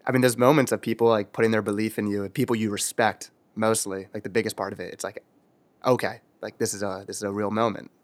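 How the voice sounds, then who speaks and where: A man hosting a music-industry podcast, his voice a little on the low side. He fires off questions at a brisk clip, eager and chatty. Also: little pitch movement. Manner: enthusiastic, quick questions. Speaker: podcast host. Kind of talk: casual interview chat.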